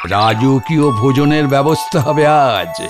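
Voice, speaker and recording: A man's voice: medium pitch, measured pace, over loud music, close to an everyday voice. A man voicing a jovial, effusive rich host in a children's cartoon, repeating himself and dropping in English phrases. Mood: joyful.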